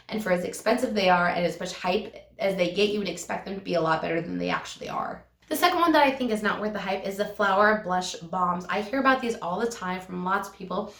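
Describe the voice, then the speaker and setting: A hoarse woman with a cold-roughened, slightly raspy voice chats enthusiastically through a product review. She lingers on her favorite words. She sounds a little away from the mic, in a roomy, echoing space. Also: lowish voice, a bit bright, distant mic. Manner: enthusiastic, gushing, slows down to stress favorite words. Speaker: beauty vlogger. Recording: echoey room, voice a bit off-mic.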